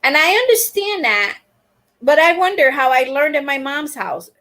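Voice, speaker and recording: Chatty older woman, slightly high voice. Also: animated, moderate pace, a bit bright. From Puerto Rico. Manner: rambling, chatty, many fillers and restarts. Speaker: crochet channel host. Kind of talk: casual live-stream chat.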